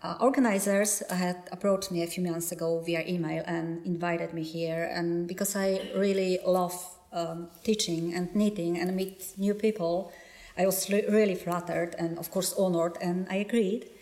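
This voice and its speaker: A woman's voice: fairly low pitch, fairly even intonation, normal speed, darkish tone. A woman with a Polish accent, a knitting designer and teacher, speaking hesitantly with many fillers in an interview. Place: yarn festival venue. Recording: echoey room.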